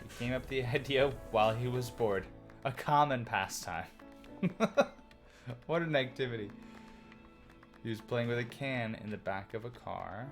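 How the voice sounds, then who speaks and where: A man chatting on a livestream, loud-voiced and animated, reacting to chat and rambling through opinionated stories. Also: medium pitch, moderate pace, pauses often. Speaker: streamer. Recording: music playing clearly behind voice. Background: music.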